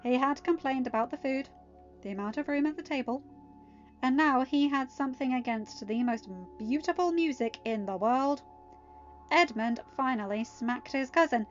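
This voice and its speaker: A woman with a fairly high voice narrates as a podfic reader. Faint music runs behind her, and the recording is slightly dull. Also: normal speed. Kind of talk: scripted story narration.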